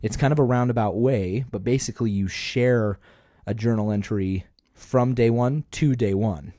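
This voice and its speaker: A man's voice: medium pitch, normal speed, dark tone, dry recording, clean studio sound. A man co-hosting a podcast, chatting casually and explaining things in a conversational way. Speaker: podcast co-host. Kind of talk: casual chat.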